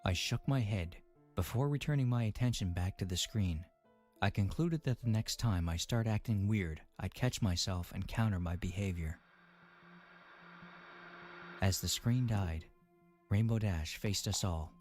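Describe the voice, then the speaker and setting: A man narrating a dramatic first-person story in a brooding, measured manner. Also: fairly low pitch, darkish tone. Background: faint music.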